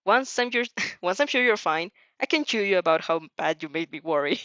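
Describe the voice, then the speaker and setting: A woman's voice: on the low side, animated, brisk, fairly bright tone, no echo. A woman voicing a protective young male hero from a fan fiction story, fond, worried and scolding. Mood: stern worry. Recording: dull lo-fi microphone.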